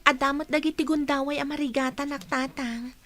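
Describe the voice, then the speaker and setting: High-voiced young college girl played by a woman. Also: fairly even intonation, fairly quick, a bit bright, faint music behind. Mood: earnest. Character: dutiful young female college student, respectful daughter. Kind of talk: radio drama acting in Ilocano.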